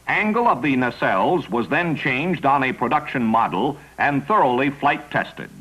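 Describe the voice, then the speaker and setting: A man's voice: mid-range voice, fairly bright tone, husky, rough recording. An American man narrating a scripted 1950s promotional newsreel in a polished, declarative announcer style. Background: music bed.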